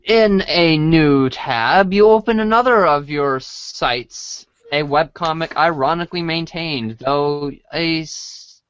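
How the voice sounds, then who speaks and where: Slow, halting higher-voiced male narrator. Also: a bit bright, smooth, dry recording, dull audio. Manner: halting reading with stumbles. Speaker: co-host and narrator. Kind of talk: storybook read-along narration.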